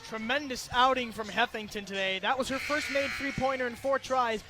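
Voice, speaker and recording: A man giving energetic live radio play-by-play of a basketball game in clipped, running descriptions. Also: very high voice, brisk, over music. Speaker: play-by-play announcer. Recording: live gym broadcast, clear background noise. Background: gym ambience with bouncing basketballs.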